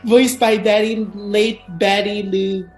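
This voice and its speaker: A woman's voice: medium pitch, little pitch movement, slow, a little mellow, reverberant, faint music behind. A woman hosting a casual video chat with a guest, bubbly and theatrical. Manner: bubbly, theatrical, apologetic about pronunciations. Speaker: host.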